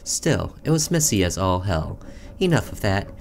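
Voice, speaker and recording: A man's voice: deep voice, very animated, normal speed, gravelly, slight background noise. A man doing let's play commentary, reading a visual novel aloud theatrically and breaking off for offhand asides.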